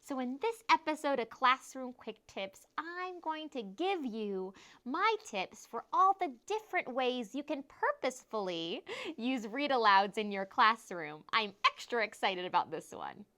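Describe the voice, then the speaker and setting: High-voiced woman presenting teaching tips. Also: very animated, a little slow, bright, forceful tone. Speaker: teacher and host of classroom tips show. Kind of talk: scripted instructional presentation.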